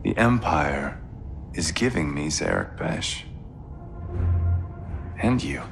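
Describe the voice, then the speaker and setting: A vengeful crime lord in a video game. His voice is very deep and full of gravel, smug and deliberate in its menace. Ominous music runs beneath him. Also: big pitch swings, exaggerated voice. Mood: cold gloating. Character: bitter vengeful crime lord brother, smug, coldly sardonic. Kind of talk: cinematic game acting. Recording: dark music under the voice.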